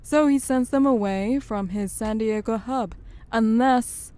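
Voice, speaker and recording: Woman playing slow, mellow syndicate muscle. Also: fairly high pitch, natural voice. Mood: knowing, advising. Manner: unhurried, knowing, teasing. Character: wry, worldly female syndicate muscle, a secret informant. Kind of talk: audio drama acting.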